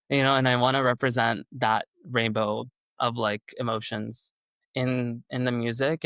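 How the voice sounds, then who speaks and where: A man's voice: medium pitch, vocal fry, fairly bright tone, no room sound. A young man, a singer whose first language is Urdu, talking in a rambling casual way with many fillers. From Canada. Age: young adult. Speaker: singer-songwriter guest. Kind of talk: casual interview chat.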